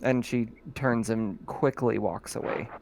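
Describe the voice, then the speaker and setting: A man running a tabletop role-playing game as game master, relaxed and chuckling often. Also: medium pitch, measured pace, background noise.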